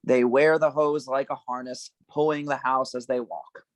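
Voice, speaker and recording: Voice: medium pitch, flat delivery, normal speed, no echo. A narrator reading out scene descriptions and stage directions at an informal script table read.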